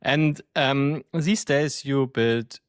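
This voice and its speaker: A man's voice: mid-range voice, drawn-out words, clean tone, dry recording, studio quality. A man hosting an interview podcast, asking long questions and thinking aloud as he goes. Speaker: podcast host.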